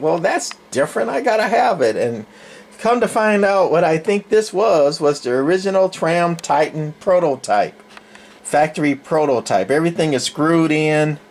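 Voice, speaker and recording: A man's voice: medium pitch, darkish tone. Middle-aged male hobby collector, mid-range voice, casual off-the-cuff narration, folksy and meandering. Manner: rambling, folksy, thinking aloud. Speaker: radio collector showing a collection. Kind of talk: casual, unscripted show-and-tell narration.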